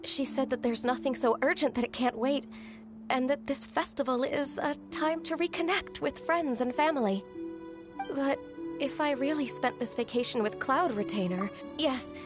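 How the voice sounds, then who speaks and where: An actress voicing a gentle, anxious, dutiful young-sounding female secretary in a video game, polite, earnest and hesitant. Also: sits high, expressive, moderate pace, over music. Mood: warm, flustered, then exasperated. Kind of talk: video game character acting.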